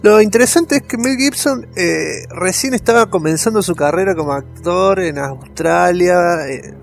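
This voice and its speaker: A man's voice: high-pitched, normal speed, creaky, soft background music. A man with an Argentine accent co-hosting a podcast, sharing film trivia in a colloquial way and repeating words for emphasis. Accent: Argentine Spanish. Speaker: podcast co-host. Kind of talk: casual film trivia chat.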